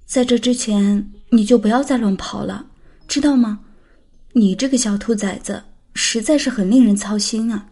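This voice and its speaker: A woman's voice: a little high, normal speed, dark tone. An actress playing a playful, caring, feisty young woman in an audiobook drama, teasing and coaxing like a big sister. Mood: caring, cajoling. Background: background music.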